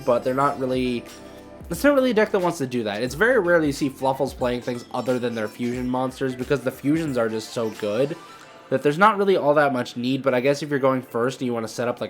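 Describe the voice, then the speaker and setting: Chatty young man commentating over music. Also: mid-range voice. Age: young adult. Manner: chatty, rambling, thinking aloud. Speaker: gaming video host. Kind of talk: casual gameplay commentary.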